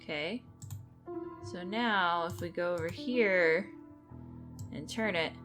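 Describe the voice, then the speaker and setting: A woman's voice: on the low side, slow pace. A woman giving casual gameplay commentary, thinking aloud as she goes. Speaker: video game streamer. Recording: game music behind the voice.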